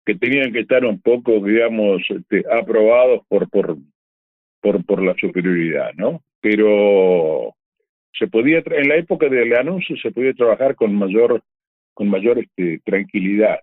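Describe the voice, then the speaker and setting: An older man with an Argentine accent, a veteran announcer reminiscing in an interview, picking his words carefully and haltingly. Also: on the low side, no echo. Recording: dry, narrow phone-like line.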